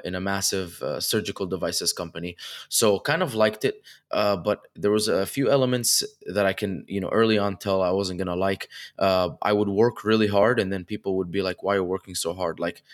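Young man from egypt and dubai, very deep voice, energetic, chatty, lots of fillers. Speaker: guest, online seller. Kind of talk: casual interview chat.

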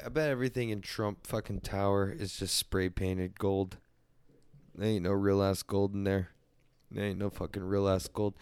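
A man's voice: fairly low pitch, clean tone, dry recording. A man hosting a crude solo comedy podcast, rambling loosely and swearing freely. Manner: profane, loose and rambling, mock-defensive. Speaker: podcast host.

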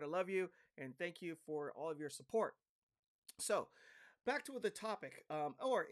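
Hoarse guy hosting a podcast, kinda high voice, chatty and upbeat, dry close sound. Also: fairly bright tone, dry recording. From California. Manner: upbeat, chatty, many fillers. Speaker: podcast host. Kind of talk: casual podcast talk.

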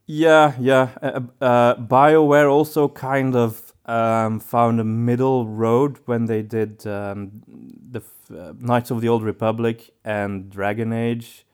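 A man co-hosting a casual podcast, speaking in a halting way as he thinks aloud. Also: mid-range voice, level tone, clean tone. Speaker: podcast co-host.

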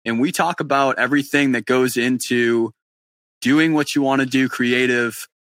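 A man's voice: mid-range voice, steady tone, moderate pace, fairly bright tone, no room sound. A man from New England hosting a podcast interview, talking in a chummy, upbeat, loose way. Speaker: podcast host. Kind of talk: casual live-stream interview chat.

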